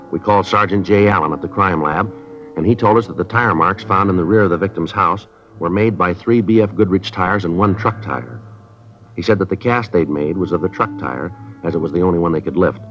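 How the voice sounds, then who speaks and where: An actor playing a terse police sergeant who questions witnesses and narrates the case in a clipped, matter-of-fact way, in a 1950s radio drama. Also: male voice, sits low, natural voice. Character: terse police sergeant, middle-aged man, dry and businesslike. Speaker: detective and narrator. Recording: dull lo-fi old radio recording.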